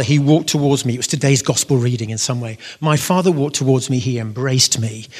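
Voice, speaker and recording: A man giving an animated faith testimony to an audience, telling his story with bursts of loud emphasis. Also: medium pitch, husky. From half Syrian. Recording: long reverb of a hall.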